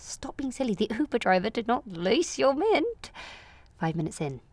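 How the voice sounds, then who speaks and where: A woman in her late twenties performing a confessional comic monologue in a candid, wry, self-questioning way, moving between confiding and animated. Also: a little high, moderate pace, no room sound. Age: late 20s. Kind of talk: confessional comic audio monologue, present-tense narration.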